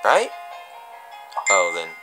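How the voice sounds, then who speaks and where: A man giving an amateur dramatic reading of a horror story as a confessional, halting and stumbling, with drawn-out pauses. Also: low-pitched, huge pitch swings, music in the background.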